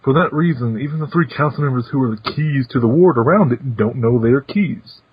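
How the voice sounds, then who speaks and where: A man voicing a genial, teasing retired old council chairman in a fan podcast read-through, with playful, drawn-out pauses. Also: mid-range voice, slightly rough, dry recording. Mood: gentle. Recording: muffled, dry lo-fi recording.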